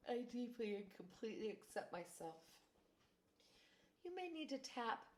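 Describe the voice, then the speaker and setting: A woman guiding a tapping exercise, calmly giving steps and phrases to repeat. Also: fairly high pitch, unhurried. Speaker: tapping practitioner.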